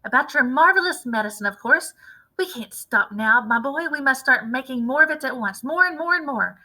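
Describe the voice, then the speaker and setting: A woman voicing a wildly excited, impatient, domineering farmer father in a storybook read-aloud, exclamatory and emphatic. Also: sits high, normal speed, pitched above the performer's own voice, full character voice, poor audio. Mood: excited, urgent.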